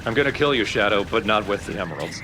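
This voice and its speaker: A man's voice: fairly low pitch, animated, fast talker, background noise. A man explaining a video game as he plays, casually and with a dry, jaded edge. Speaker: let's play commentator. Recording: loud game sound behind voice.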